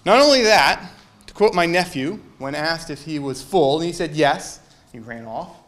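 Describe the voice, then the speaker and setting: Male minister, fairly high voice, chatty sermon with jokes and audience quizzes. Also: animated, moderate pace, a bit bright. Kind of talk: casual sermon to a congregation.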